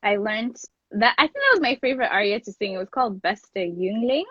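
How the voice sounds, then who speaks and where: A woman's voice: mid-range voice, lively intonation, a little fast, a bit bright, no echo. A young woman from Kenya, a native Luo speaker, chatting casually and enthusiastically as an interview guest. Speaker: guest, classical singer and voice teacher. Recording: dull lo-fi close dry sound.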